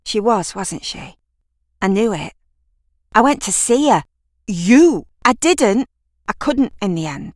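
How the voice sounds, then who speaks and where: A woman voicing a caring, anxious girl in an audio drama, coaxing in an urgent, hushed manner. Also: mid-range voice, sing-song, takes it slowly, long pauses, no room sound, studio quality, pitched above the performer's own voice. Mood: anxious, pleading. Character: caring, anxious girl soothing a distressed friend.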